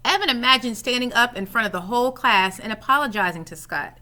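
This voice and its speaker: A woman reads a children's storybook aloud with a bright, higher-pitched voice. Her delivery is very lively and expressive, swinging through the sentences as she dramatizes the action. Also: fast. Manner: animated, dramatizes dialogue for children. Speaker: reading coach reading aloud.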